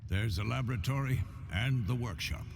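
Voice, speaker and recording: A man playing a seasoned, authoritative older fortress commander in a video game, laying things out in a measured, instructive way. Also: medium pitch, gravelly, close to an everyday voice. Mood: businesslike. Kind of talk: video game cutscene acting. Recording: music behind the dialogue.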